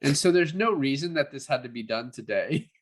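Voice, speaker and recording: A man's voice: medium pitch, expressive, brisk. A man co-hosting a podcast in a casual film review chat, hedging as he works through his thoughts. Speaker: podcast co-host.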